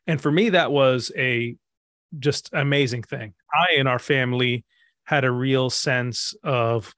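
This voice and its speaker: A man's voice: mid-range voice, unhurried, fairly bright tone, no echo. Reflective man in dry audio. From Egypt. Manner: reflective, thinks aloud with fillers and restarts. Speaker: pastor and author guest. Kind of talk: interview answers.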